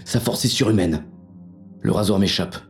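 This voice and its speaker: An actor playing a hard-boiled, wary police inspector who narrates a noir audio drama in a gritty, weary manner. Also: male voice, fairly low pitch, normal speed, soft background music, natural voice. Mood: startled, tense.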